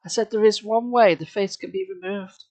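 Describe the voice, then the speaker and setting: A reader voicing a sad, bitter male mask-shop owner who speaks softly and slowly, in a storybook reading. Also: mid-range voice, fairly even intonation, no echo, voice pushed up, close to an everyday voice. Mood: solemn. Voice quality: soft, hushed.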